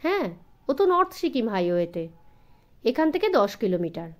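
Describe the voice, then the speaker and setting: Obliging, talkative storybook jeep driver, male, played by a woman, high-pitched and very animated delivery. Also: moderate pace.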